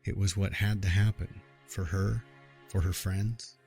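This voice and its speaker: A man's voice: low voice, steady tone, measured pace, a little mellow, faint music behind. A man playing a tender, grieving adoptive father, narrating in the first person in an earnest, heartfelt way. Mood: reflective.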